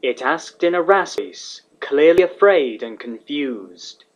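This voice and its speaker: A man's voice: fairly high pitch, expressive, measured pace. A man reading scripted fantasy fiction as the narrator. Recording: slightly dull, lo-fi recording.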